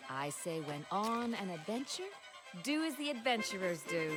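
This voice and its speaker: Gentle, very animated cartoon mother, acted by a woman. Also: mid-range voice, normal speed, natural voice. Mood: delighted, playful. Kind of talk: animated series voice acting. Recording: music under the voice.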